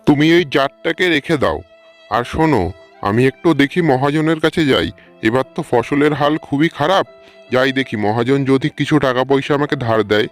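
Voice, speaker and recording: A man's voice: medium pitch, normal speed, soft background music, natural voice. A man voicing a humble, hard-pressed village farmer in a cartoon, plain and earnest. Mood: worried.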